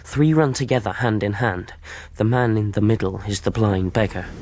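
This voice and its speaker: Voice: mid-range voice, normal speed, darkish tone, lightly acted. An actor playing a brave, eager boy at a seaside inn in a radio drama. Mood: hushed narration. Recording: noisy radio drama with clicks.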